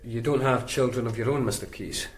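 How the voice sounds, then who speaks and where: An actor playing a calm police detective in a radio drama, asking blunt, persistent questions. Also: male voice, medium pitch, brisk, lightly acted. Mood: probing.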